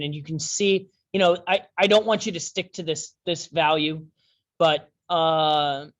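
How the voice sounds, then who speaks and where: A man teaching a class over a screen share, talking in a halting way with many fillers and restarts. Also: fairly high pitch. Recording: dull lo-fi sound.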